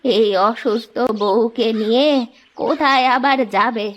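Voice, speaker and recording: A woman voicing a kind, generous old village woman who speaks slowly and gently. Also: on the high side, highs cut off, natural voice. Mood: kindly. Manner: slow, gentle, motherly speech.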